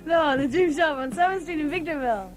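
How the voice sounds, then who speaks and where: A woman's voice: high-pitched, brisk, soft background music, natural voice. A young woman in her teens playing an exasperated partner who corrects mistakes in a comic radio commercial. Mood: exasperated, correcting. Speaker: commercial voice actor.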